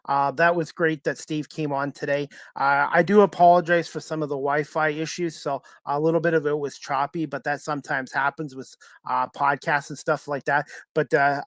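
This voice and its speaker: A man hosting a podcast in a casual, halting, informal way. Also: fairly high pitch, a bit bright, dry recording. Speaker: podcast host.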